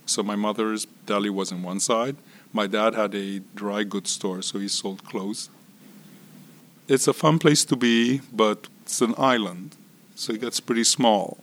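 A man in his sixties from Israel and Curaçao, telling his life story in an interview at an unhurried pace that trails off softly at phrase ends. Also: on the low side. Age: 60s. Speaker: interview guest. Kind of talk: relaxed personal storytelling.

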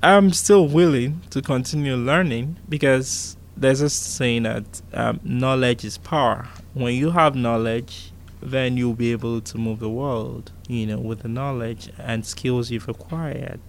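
A man's voice: medium pitch, a little slow, gravelly, a little hiss or hum. A man in his thirties from Nigeria, telling his life story in an interview in an earnest, reflective way and repeating points for emphasis. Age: 30s. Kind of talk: recorded personal interview.

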